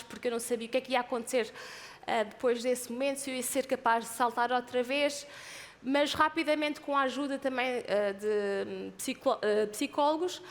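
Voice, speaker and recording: A high-pitched woman with a European Portuguese accent tells her own story as an athlete on a stage. She talks earnestly, pausing and restarting her sentences often, and her voice rings with the long reverb of a large hall. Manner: earnest, with frequent hesitations and restarts. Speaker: athlete giving a talk. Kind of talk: personal motivational talk.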